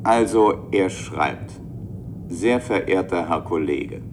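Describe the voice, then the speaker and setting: A statesman in a radio drama, composed and faintly wry. His lowish voice reads out in formal, measured phrases. Clear rumbling noise sits behind him. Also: expressive, full character voice. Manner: measured, formal reading with brisk asides. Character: future male president reading aloud, formal, wry, composed. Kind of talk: German radio play acting.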